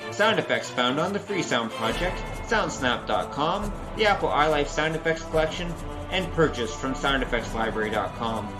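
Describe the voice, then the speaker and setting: A man's voice: fairly high pitch, lively intonation, normal speed, few pauses, music in the background. A man announcing scripted credits for an audio drama.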